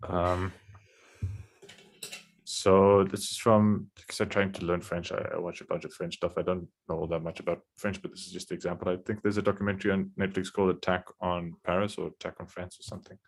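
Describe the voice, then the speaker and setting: A man's voice: low voice, little pitch movement, brisk. A man who is a guest on a livestream, explaining a point in a rambling, hedging way. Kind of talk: casual discussion.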